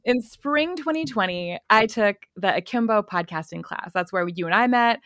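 A woman guest on a podcast, chatting casually in a bubbly, energetic way, often laughing, with a voice others find relaxing. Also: mid-range voice, moderate pace, dry recording. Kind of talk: casual interview chat.

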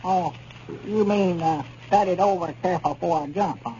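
An actor playing a naive, literal-minded old country storekeeper with a rural Arkansas accent and a folksy, rambling manner in a 1930s radio comedy. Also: male voice, high-pitched, brisk, mellow, natural voice. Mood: puzzled, rambling. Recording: muffled, noisy 1930s radio recording.

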